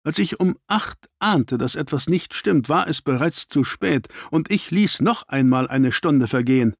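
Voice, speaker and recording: A man's voice: a little high, animated, measured pace, vocal fry, dry recording, studio quality, full character voice. A man voicing an angry, self-reproaching, commanding detective in an audiobook, with clipped, authoritative delivery. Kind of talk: dramatised audiobook reading.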